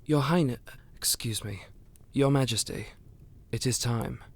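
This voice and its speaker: A man playing a courteous, attentive royal aide in an audio roleplay, with a gentle, formal manner. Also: mid-range voice, measured pace, creaky, slightly rough. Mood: deferential. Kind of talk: scripted audio roleplay acting.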